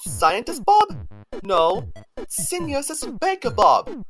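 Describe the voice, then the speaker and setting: A woman voicing a warm, hearty male master chef in a fan-dubbed cartoon, theatrical and effusive. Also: on the high side, huge pitch swings, slow pace, full character voice. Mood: friendly. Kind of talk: fan dub cartoon acting. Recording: music behind the voice.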